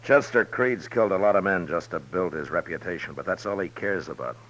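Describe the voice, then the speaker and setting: An actor playing a stern, weary, principled western lawman in 1950s radio drama, speaking in a measured, firm, plain way. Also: deep voice, fairly bright tone. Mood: grave. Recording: muffled, noisy old radio recording.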